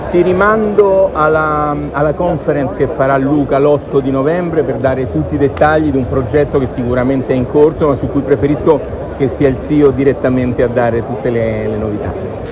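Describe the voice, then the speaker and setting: Confident man pitching at a motor show. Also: fairly even intonation, normal speed, crowd noise. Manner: confident, promotional, fluent. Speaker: car company executive. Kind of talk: interview answers. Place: busy motor show hall.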